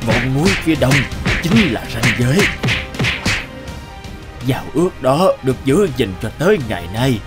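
A man voicing a solemn old village elder who speaks in a grave, measured way in a cartoon. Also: mid-range voice, expressive, music in the background, natural voice.

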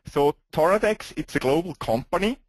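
A man with a strong Swiss accent presenting a company webinar, pausing often with "uh" fillers. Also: on the high side, expressive, a little mellow, slightly rough, no echo. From Switzerland. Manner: hesitant, frequent "uh" fillers, non-native phrasing.

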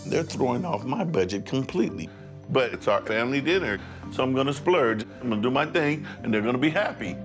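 A man's voice: medium pitch, animated, fairly quick. A middle-aged man talking in a reality TV interview, proud and chuckling about saving money. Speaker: reality show subject, frugal father. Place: home room, indoors. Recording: background music.

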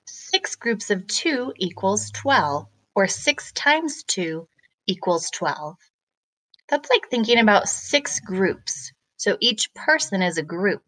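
A woman, a math teacher, explaining step by step to children in a deliberate, questioning way. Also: medium pitch, a bit bright, rough recording.

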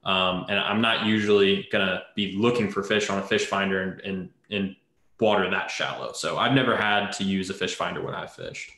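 Casting: young man, bright sharp tone, relaxed fishing coach, echoey room. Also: sits low, normal speed. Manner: relaxed, rambling explaining. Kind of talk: casual panel chat. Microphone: video call.

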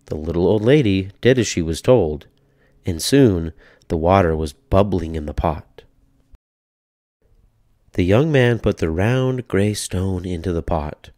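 A man narrating a children's read-aloud story in a deliberate, rhythmic, expressive way. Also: sits low, no echo, studio quality.

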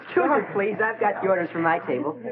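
An actor playing a popular, helpful teenage high school boy, chummy and breezy, in 1940s educational film style, on a tinny soundtrack with a crowd behind. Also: sing-song, fast.

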